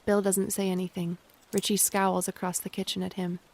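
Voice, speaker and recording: Female narrator for audio drama, flat measured intonation with vocal fry, reading stage directions. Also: mid-range voice, dry recording, low-quality recording.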